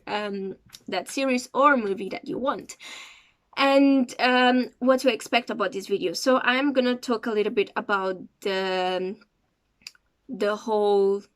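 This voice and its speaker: Slow, hesitant woman. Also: mid-range voice. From Cape Verde. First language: Portuguese. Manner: hesitant, searching for words as she goes. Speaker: series reviewer. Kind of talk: casual review vlog.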